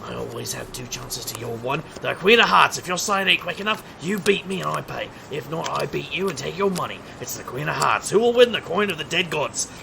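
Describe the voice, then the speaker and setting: A man's voice: fairly high pitch, lively intonation, a little fast, gravelly, hardly any pauses, background noise. An actor playing a cocky, wisecracking street magician and private eye in an audio drama, with a showman's wry, theatrical patter. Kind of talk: scripted audio drama acting.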